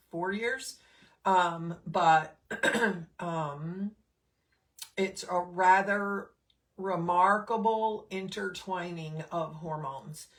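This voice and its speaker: A woman's voice: on the low side, a bit bright. A middle-aged woman, a nurse, hosting a casual live stream with a slightly scratchy throat, chatting with many fillers and greeting viewers by name.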